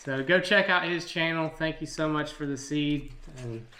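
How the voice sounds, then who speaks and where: A man's voice: on the high side, normal speed, bright, forceful tone. A middle-aged American man chatting casually to viewers in a plainspoken, folksy way, as a family channel co-host. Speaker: co-host, father helping present a family channel. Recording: echoey, roomy home recording.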